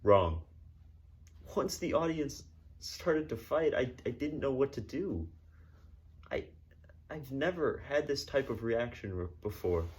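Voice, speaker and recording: A man voicing a distraught, indignant female ballet dancer in a halting, exasperated way for a mock newsreel interview. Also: medium pitch, expressive, darkish tone, pitched above the performer's own voice. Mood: upset, apologetic. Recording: noticeable background noise.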